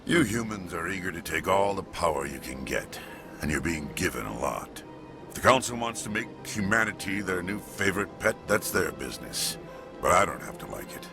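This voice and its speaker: A man playing a stern, proud alien police chief in a video game, curt and disapproving, with a gravelly voice. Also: deep voice, expressive, normal speed, over music. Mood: cold, resentful. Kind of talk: video game dialogue acting.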